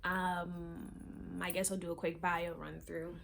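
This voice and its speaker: A young woman from South Florida chatting casually to camera as a fitness vlogger, rambling with frequent ums and soft trailing asides. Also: lowish voice, little pitch movement, unhurried, creaky, a little hiss or hum. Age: young adult. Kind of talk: casual chat and workout instruction. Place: small room, plain wall, indoors.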